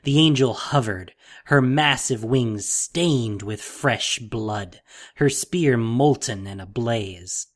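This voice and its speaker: A narrator reading scripted fantasy drama in an expressive, deliberate storytelling manner. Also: medium pitch. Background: faint music.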